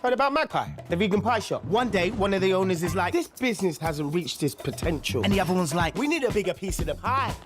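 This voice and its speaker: A woman narrates an advert like a chatty anecdote. Her pitch moves up and down in a lively way, over clearly audible music. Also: fast talker, hardly any pauses. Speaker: commercial voice-over. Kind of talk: chatty scripted advert storytelling.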